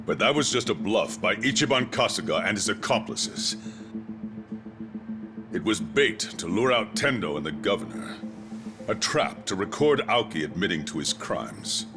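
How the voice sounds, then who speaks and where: An actor playing a curt, imperious middle-aged police commissioner in a video game drama, impatient and full of authority. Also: male voice, on the low side, big pitch swings, moderate pace, music in the background. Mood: dismissive. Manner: clipped, imperious.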